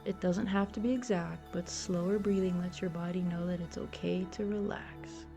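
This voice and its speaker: A woman leading a guided meditation in a soothing, gentle, unhurried and encouraging way. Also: lowish voice, steady tone. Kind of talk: guided meditation, soft instructional narration. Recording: soft music behind the voice. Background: meditation music.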